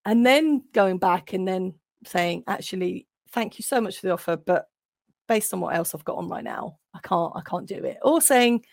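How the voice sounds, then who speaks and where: A woman's voice: medium pitch, lively intonation, normal speed, creaky, darkish tone, no room sound, clean studio sound. A woman guest, an occupational psychologist, talking thoughtfully in an interview and often restarting as she builds her points. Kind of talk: reflective interview talk.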